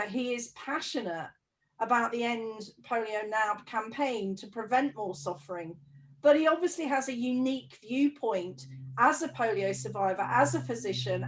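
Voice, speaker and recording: A woman's voice: on the high side, poor audio. A woman hosting a webinar, moderating the discussion and introducing a panel speaker. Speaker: webinar host.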